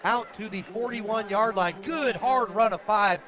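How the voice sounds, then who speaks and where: A man with an American accent calling a live high school football game with an emphatic, excitable sportscaster delivery. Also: a little slow, dull audio, a crowd in the background. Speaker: play-by-play radio announcer. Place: outdoor football stadium broadcast.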